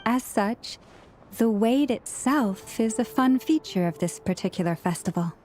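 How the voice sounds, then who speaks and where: An actress playing a gentle, poised young priestess in a video game, speaking in a measured, polite, composed way. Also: female voice, a little high, animated, slight background noise. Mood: gently explaining. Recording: music under the voice.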